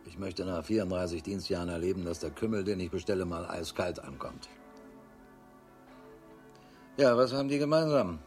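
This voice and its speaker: An actor in a radio crime drama playing a sardonic, world-weary police chief in his fifties with a husky voice, grumbling as he talks. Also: low voice, normal speed, pauses often, darkish tone, faint music behind. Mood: sardonic, puzzled. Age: 50s.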